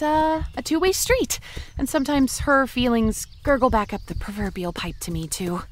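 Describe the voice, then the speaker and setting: A woman's voice: high voice, a little hiss or hum. A woman playing the chatty, anxious inner voice of an undead young woman, confiding in the listener in a rambling audio drama monologue. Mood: wry, confiding.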